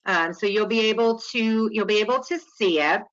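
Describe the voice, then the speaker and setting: A woman's voice: mid-range voice, a little fast. A woman from New York presenting a webinar lecture in a chatty, welcoming way over an online call. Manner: chatty and welcoming, with small laughs. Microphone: online call audio. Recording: dull lo-fi web call audio.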